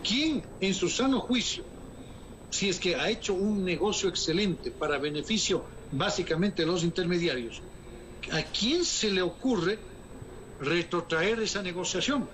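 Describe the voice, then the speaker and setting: Emphatic economist with high voice. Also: male voice, expressive, measured pace, a bit bright, slight background noise, rough recording. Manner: emphatic, rhetorical questions, formal address. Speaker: economist guest. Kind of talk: formal interview answers.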